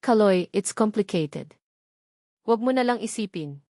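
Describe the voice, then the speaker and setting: A woman's voice: medium pitch, expressive, a little slow, warm tone, no echo, clean studio sound. A woman with a Filipino accent voicing a guarded, weary, curt businessman in an audiobook drama. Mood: evasive, weary. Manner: cold, evasive.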